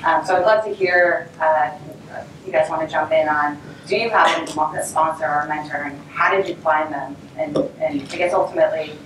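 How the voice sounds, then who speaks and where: A woman's voice: low-pitched, a bit bright. Woman moderating a panel, roomy and distant. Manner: casual, filler-heavy, upbeat. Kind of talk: conference panel hosting. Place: conference stage. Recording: roomy, distant mic, audible noise.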